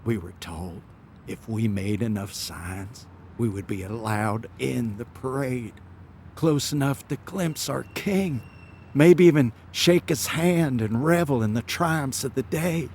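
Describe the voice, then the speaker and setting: A man's voice: medium pitch, darkish tone, a little hiss or hum. A man playing a proud, cheeky foot soldier, politely defiant and wordy, in a theatrical audio drama. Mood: sheepish, eager. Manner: measured, wordy, mock-courteous.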